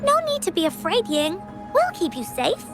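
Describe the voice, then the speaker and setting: A woman's voice: very high voice, very animated, normal speed, music in the background, full character voice. A woman voicing a cheerful, confident little girl adventurer in an anime-style video game, with a bubbly, childlike way of talking. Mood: reassuring, brave. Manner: bubbly, childlike, speaks of self in third person.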